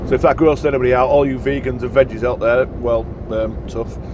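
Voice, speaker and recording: A croaky man talks to camera from the cab of his moving truck, chatty and rambling with plenty of "uh"s. His pitch sits in the ordinary middle range for a man. The engine and road hum stay clearly audible under him. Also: fairly even intonation, a little fast, lo-fi sound. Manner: chatty, rambling, frequent "uh" fillers. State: husky, croaky. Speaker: truck driver vlogger. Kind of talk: casual vlog chat while driving.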